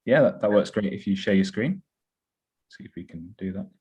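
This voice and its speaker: A man moderates casually in a very deep, husky voice. The call audio sounds dull. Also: animated, normal speed, darkish tone. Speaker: event moderator. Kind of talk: casual introduction.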